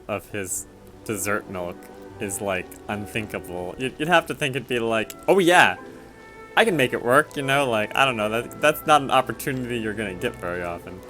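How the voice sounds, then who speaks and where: A man giving casual, off-the-cuff gameplay commentary, halting and informal as he thinks aloud. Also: medium pitch, fairly bright tone. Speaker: game streamer. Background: faint game music.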